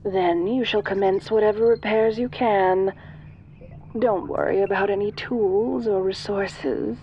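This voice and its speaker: A woman voicing an ancient, godlike alien in a soft-voiced audio roleplay, sinister, seductive and imperious, speaking formally and silkily. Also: mid-range voice, fairly even intonation, highs cut off, a little hiss or hum, close to an everyday voice. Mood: commanding, pleased. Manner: slow, imperious, formal, silky.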